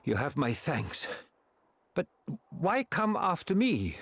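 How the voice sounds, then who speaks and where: An actor playing a courteous, learned male adviser in a video game, husky-voiced, speaking in a formal, measured way. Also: on the high side, wildly swooping pitch, a bit bright, no room sound, heavily acted. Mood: grave, thoughtful. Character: learned, courteous male scholar and royal adviser held prisoner. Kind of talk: video game cutscene acting. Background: background music.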